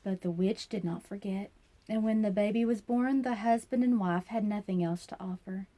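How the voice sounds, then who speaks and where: Lady reading a fairy tale to kids, mellow relaxed voice, expressive storyteller. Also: medium pitch, moderate pace, slight background noise. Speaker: storyteller reading children's books aloud. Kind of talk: expressive scripted storybook narration.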